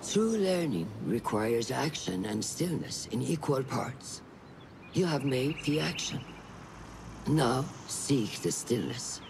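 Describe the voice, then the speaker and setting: An actor playing a stern, wise master of hidden assassins in a video game, with a measured, instructive manner. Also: male voice, mid-range voice, very animated, gravelly, a little hiss or hum, full character voice. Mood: calm, admonishing. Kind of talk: dramatic video game acting.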